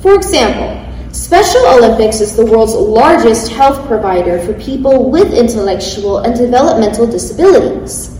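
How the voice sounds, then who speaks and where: A woman's voice: fairly high pitch, expressive, moderate pace, dark tone, slight background noise. A woman in her thirties on a panel, reading prepared remarks in an eager way, laughing at herself when she stumbles. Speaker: panelist, athlete speaker. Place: large event hall. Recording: echoey hall, mic at a distance.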